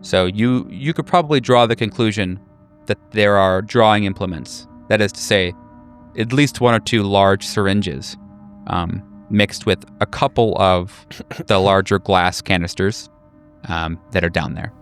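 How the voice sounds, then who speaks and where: A man running a horror tabletop game, improvising scene descriptions in a casual, halting way. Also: lowish voice. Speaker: game master.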